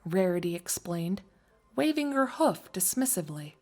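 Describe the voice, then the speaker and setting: A woman reading scripted story narration in an expressive, wry storytelling manner. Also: lowish voice, moderate pace, soft background music. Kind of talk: scripted fan fiction narration.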